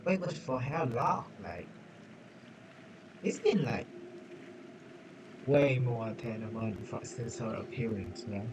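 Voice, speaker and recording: A man's voice: medium pitch, animated, takes it slowly, long pauses, very noisy. A man streaming a game with casual, hesitant, musing commentary. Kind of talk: casual live playthrough commentary. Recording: loud game music over voice. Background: loud game music.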